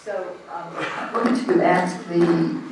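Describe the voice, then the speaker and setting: An Australian man running a meeting in a big, very echoey hall, heard from some distance away. His voice sits a little high for a man, with faint room hiss underneath. He talks loudly and informally, with lots of ums and uhs. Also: steady tone, slow pace. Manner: loud, informal, hesitant with frequent ums. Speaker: meeting chair standing in for the president. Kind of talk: chairing a community meeting. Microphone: handheld microphone.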